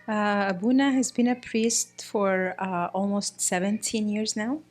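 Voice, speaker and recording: A middle-aged woman from Egypt, a guest speaking in a casual interview, often pausing with fillers and restarting her sentences. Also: mid-range voice, fairly even intonation, a little mellow. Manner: hesitant, many fillers and restarts. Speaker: guest, priest's wife.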